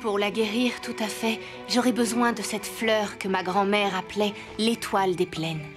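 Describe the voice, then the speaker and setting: A woman voicing a gentle, caring mother and herbal healer in a children's cartoon, with careful, clear phrasing. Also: mid-range voice, steady tone, moderate pace, faint music behind, close to an everyday voice. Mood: concerned. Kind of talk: French-dubbed children's cartoon acting.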